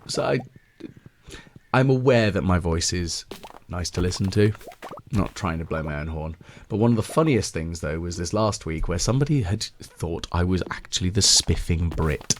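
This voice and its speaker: A British man with a deep voice giving relaxed, meandering gameplay commentary with gentle, wry asides. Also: slight background noise. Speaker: let's play video host.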